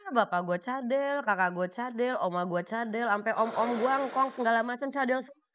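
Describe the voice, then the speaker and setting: A woman's voice: on the high side, brisk, background noise. A young woman guest on a comedy show, talking candidly and a bit sassily about her housemates. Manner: candid, chatty, a little sassy. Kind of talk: casual chat on a comedy show.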